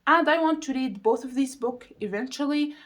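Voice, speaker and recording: High-pitched woman chatting emphatically about things. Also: normal speed, a bit bright. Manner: emphatic, repeats words for stress. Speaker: book reviewer vlogger. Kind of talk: casual chatty video monologue to viewers.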